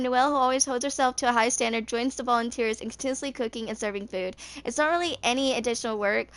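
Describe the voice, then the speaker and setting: Young woman, clear smooth voice, slightly high pitch, reading game lore, occasional stumbles over words. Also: a bit bright. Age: 20s. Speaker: gaming video creator.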